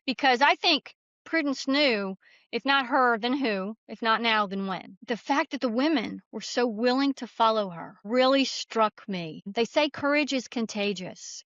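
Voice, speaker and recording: A woman with a fairly high voice talks as an interview guest in a plainspoken, storytelling way. The recording is very dry and a little dull. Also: a bit bright, no room sound. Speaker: author guest being interviewed.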